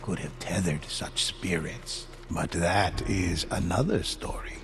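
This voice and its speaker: A man acting as a solemn storyteller, narrating a dark folk tale for a video game in a grave, measured, ominous manner. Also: low-pitched, vocal fry, soft background music, exaggerated voice. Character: solemn male storyteller of dark ghost legends.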